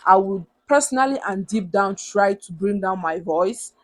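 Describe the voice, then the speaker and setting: A young woman with a Nigerian accent and a big, loud voice, earnestly giving motivational advice to camera and repeating her points for emphasis. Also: medium pitch, animated, moderate pace. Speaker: vlogger.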